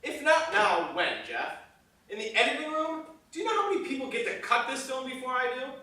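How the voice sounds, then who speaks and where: A man's voice: fairly high pitch, big pitch swings, moderate pace, bright, forceful tone. An actor playing an obsessive, stubborn male film director, speaking in an emphatic, self-important, theatrical way. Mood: defiant, passionate. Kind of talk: theatrical dramatic dialogue. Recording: very echoey room, distant microphone.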